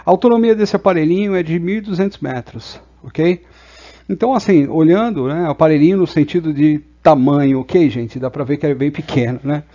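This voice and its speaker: Brazilian man, slightly high-pitched, soft mellow tone, casual explainer, frequent check-in tags, dull lo-fi recording. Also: a little fast. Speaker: presenter reviewing products.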